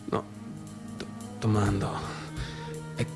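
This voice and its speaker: An actor playing a disturbed provincial young man in a radio theatre monologue, with a northeastern Italian accent and a halting, repetitive, colloquial way of talking. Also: on the low side, completely flat delivery. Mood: anxious, obsessive. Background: music.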